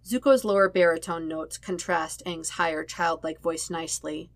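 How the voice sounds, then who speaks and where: A woman narrates a story in a fairly low voice. The sound is dry, with hardly any room around her, and the delivery is that of scripted audiobook-style reading. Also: steady tone, moderate pace, no room sound. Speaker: podfic reader of fan fiction.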